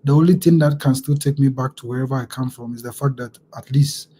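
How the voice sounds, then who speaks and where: A man's voice: medium pitch, fairly even intonation, fast talker, dark tone, a little hiss or hum. A man from Ghana with a Ghanaian accent, hosting a casual interview, reflective and affirming, often repeating words as he thinks. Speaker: interview host.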